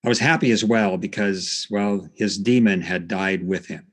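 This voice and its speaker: A man hosting a podcast, telling stories from notes in a conversational, somewhat halting way. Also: low voice. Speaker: podcast host. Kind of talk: storytelling narration from notes, conversational.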